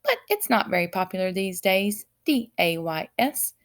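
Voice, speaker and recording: A woman's voice: mid-range voice, sing-song, moderate pace. A woman voicing a fussy, precise talking bee in a storybook reading. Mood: matter-of-fact. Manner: spells words out letter by letter. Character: fussy talking bee who spells out words.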